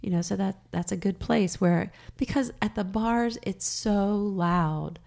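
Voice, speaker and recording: A middle-aged woman co-hosting a podcast in casual chat, with a raspy, slightly hoarse voice, frank and quick to laugh. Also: mid-range voice, a little mellow. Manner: chatty, frank, laughs easily. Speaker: podcast co-host.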